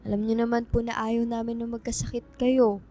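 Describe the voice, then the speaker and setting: A woman's voice: fairly high pitch, level tone, a little fast, dark tone, slight background noise, close to an everyday voice. A woman playing an anxious, devoted school-age daughter in a radio drama, speaking politely and pleadingly. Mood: worried, pleading.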